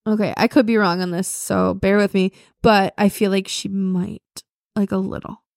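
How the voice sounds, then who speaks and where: A young woman co-hosts a podcast in a loose, chatty way, scattering "like" through her sentences. Her voice sits at a middle pitch. It is recorded dry and close, with no room sound. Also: little pitch movement, moderate pace, vocal fry, no room sound. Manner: chatty, lots of "like". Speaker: podcast co-host. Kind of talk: casual chat.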